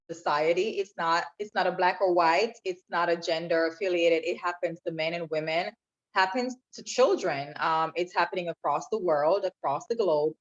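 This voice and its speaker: A woman from Jamaica, a podcast guest telling her own story in an earnest, reflective way. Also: fairly low pitch. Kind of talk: personal testimony in interview. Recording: dull lo-fi sound.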